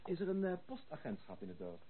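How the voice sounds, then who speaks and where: A gallant young gentleman suitor in a radio drama. His polite, teasing speech swings widely in pitch. The old recording is thin and tinny, with faint noise. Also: big pitch swings, fast. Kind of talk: Dutch radio play acting.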